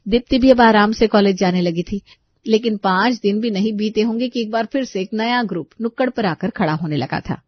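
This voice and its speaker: A woman's voice: mid-range voice, fairly quick, no echo, lo-fi sound. Female narrator for a scripted family story, medium register, close dry sound.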